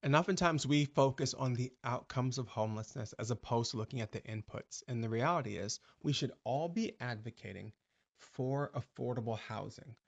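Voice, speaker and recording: A male host giving commentary in a measured, deliberate, persuasive way. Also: medium pitch, creaky.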